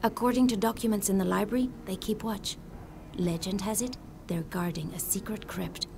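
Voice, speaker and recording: A woman's voice: mid-range voice, fairly even intonation, moderate pace, vocal fry, noisy background, natural voice. An actress playing a confident, wry local woman researcher in video game dialogue.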